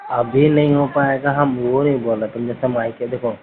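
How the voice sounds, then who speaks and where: A man's voice: mid-range voice, flat delivery, moderate pace. Male, eastern Indian Hindi accent, insistent questioner, casual phone talk, narrowband with some background noise. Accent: eastern Indian Hindi, Bihar-Jharkhand region. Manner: insistent, pressing with repeated questions. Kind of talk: casual private phone conversation. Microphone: phone line. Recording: noisy, narrowband phone call recording.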